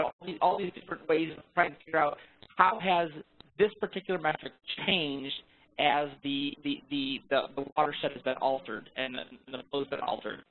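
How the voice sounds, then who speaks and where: A man giving an informal technical presentation on a conference call, halting, often repeating and rephrasing his words. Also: on the high side, phone-quality sound. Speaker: research presenter. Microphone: phone conference call. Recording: thin, band-limited phone conference line.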